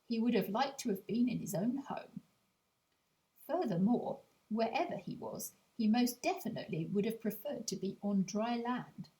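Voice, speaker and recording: A woman's voice: a little high, rough recording. Woman narrating a children's audiobook. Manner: measured, gently wry, addresses the reader directly. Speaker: author and audiobook reader.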